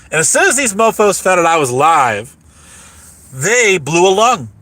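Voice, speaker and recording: A hoarse man rambling casually on a livestream, boastful, repeating himself and laughing mid-sentence. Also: high voice, very animated, a little slow, sharp tone, dry recording.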